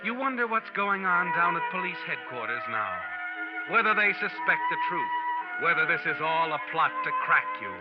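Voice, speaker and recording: A man's voice: sits high, wildly swooping pitch, moderate pace. An actor playing a sinister, all-knowing male narrator who taunts the listener in the second person, in an old radio drama. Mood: ominous. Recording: dull vintage radio recording with music.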